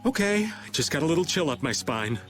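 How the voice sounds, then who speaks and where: A man's voice: on the high side, very animated, brisk, soft background music. A man playing a teasing, easygoing middle-aged adventurer in a video game, with a folksy, playful drawl. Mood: uneasy, surprised. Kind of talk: video game dub acting.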